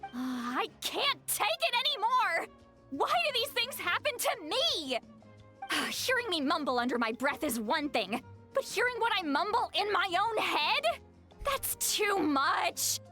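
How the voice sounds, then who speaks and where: An actress playing a flustered, dramatic, self-deprecating eccentric shamaness in a video game. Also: female voice, very high-pitched, very animated, measured pace, sharp tone, faint music behind, exaggerated voice. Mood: flustered, exasperated. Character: flustered, eccentric immortal shamaness with youthful looks.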